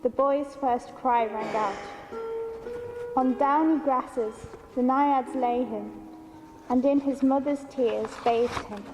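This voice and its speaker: A woman giving a measured literary reading of verse, phrased deliberately in short units. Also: high voice, fairly even intonation. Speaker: translator reading their own translation. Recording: long hall reverb with music.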